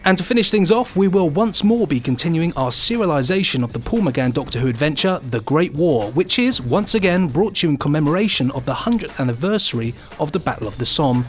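A man's voice: on the high side, lively intonation, brisk, hardly any pauses. A man co-hosting a podcast, reading scripted items mixed with banter. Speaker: podcast co-host.

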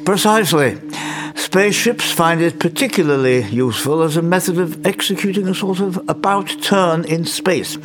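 An actor with an English accent playing a crotchety, brilliant old scientist in an audio drama, pompous and muttering, with old-fashioned phrasing. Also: male voice, a little high, moderate pace, music in the background, close to an everyday voice. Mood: lecturing. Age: older.

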